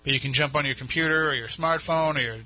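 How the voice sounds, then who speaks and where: A man's voice: on the high side, quick pace, sharp tone, no echo, rough recording. A man with a New England accent hosting a radio show, chatting casually in a studio with many restarts. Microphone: studio mic.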